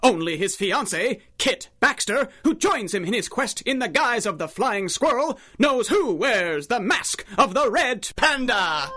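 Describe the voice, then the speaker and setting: An actor playing a grandiose announcer of a 1930s radio adventure serial, declaiming melodramatically over music. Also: male voice, extremely high pitch, sing-song, unhurried, hardly any pauses, bright, forceful tone, full character voice. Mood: grand, dramatic. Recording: music behind the voice.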